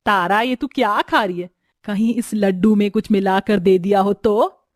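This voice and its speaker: A woman's voice: medium pitch, steady tone, normal speed, close to an everyday voice. Haughty scolding mother-in-law, put on by a woman. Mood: suspicious, contemptuous. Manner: scolding, contemptuous, bossy. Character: haughty, suspicious middle-aged rich mother-in-law, scornful of the poor.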